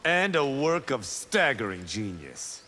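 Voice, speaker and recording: A man's voice: mid-range voice, sing-song, slow pace, bright, forceful tone, soft background music, full character voice. A man playing a playful, cocky warrior in a fighting game, with a smug, boastful delivery. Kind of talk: fighting game dialogue acting.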